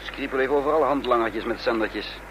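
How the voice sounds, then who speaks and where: A man acting in a radio drama as a grave secret agent on the run, brooding and deliberate as he speaks and narrates. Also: mid-range voice, natural voice. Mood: tense, planning. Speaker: lead actor and narrator. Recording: noisy old radio play recording.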